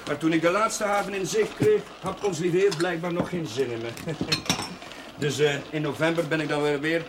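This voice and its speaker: A man playing a warm, talkative and idealistic young village chaplain in a television drama, hesitant and musing but easily worked up. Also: a little high, normal speed, lightly acted. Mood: wry, reflective. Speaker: actor in a television drama series. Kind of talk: naturalistic television drama dialogue. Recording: noisy old TV soundtrack, clattering dishes.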